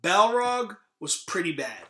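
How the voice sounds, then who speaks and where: A man's voice: sits high, big pitch swings, unhurried, fairly bright tone, roomy sound. A man chatting casually on a podcast about pop culture, blunt and emphatic, with slangy talk and swearing. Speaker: podcast host. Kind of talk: casual podcast chat about video game movies.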